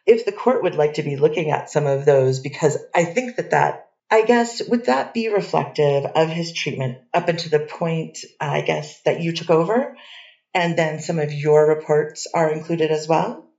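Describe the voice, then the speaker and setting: A woman's voice: low voice, lively intonation, normal speed, creaky, darkish tone, highs cut off. A woman attorney questioning a witness in a courtroom, hesitant and hedging, with many restarts. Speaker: defense attorney. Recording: distant echoey courtroom audio.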